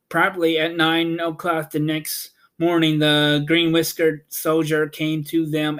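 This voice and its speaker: Slow-paced man narrating a storybook. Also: on the high side. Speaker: audiobook narrator and host.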